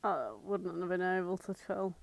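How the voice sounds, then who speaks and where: A woman's voice: on the low side, little pitch movement, normal speed, creaky, no room sound. A British woman co-hosting a podcast in casual banter, with a blunt, sweary, sardonic manner. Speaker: podcast co-host.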